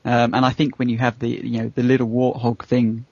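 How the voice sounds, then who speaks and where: Fast, gravelly man chatting on a podcast. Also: medium pitch, completely flat delivery, a little mellow, dry recording. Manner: opinionated, rambling, conversational. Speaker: podcast host. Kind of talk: casual panel chat about gaming. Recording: dull lo-fi podcast audio.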